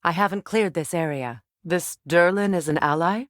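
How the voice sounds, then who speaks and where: A woman playing a guarded, battle-hardened warrior goddess and sorceress in a video game, measured, dry and pointed in her delivery. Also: fairly low pitch, dry recording, close to an everyday voice. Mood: calm, practical. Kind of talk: dramatic video game character acting.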